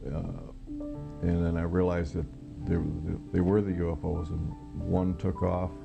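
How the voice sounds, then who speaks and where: An American man recounting his own experience in an interview, halting, plain-spoken and matter-of-fact, as a former air traffic controller. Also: low-pitched, level tone, gravelly, muffled recording, music in the background.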